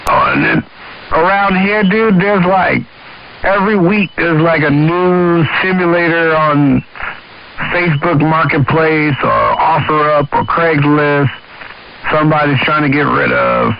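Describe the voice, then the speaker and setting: A man's voice: on the high side, steady tone, unhurried, creaky. An American man chatting casually and emphatically over two-way radio, using slang and swearing freely. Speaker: CB radio operator. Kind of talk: casual chat about video games. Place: on-air CB radio transmission. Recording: dull CB radio with hiss.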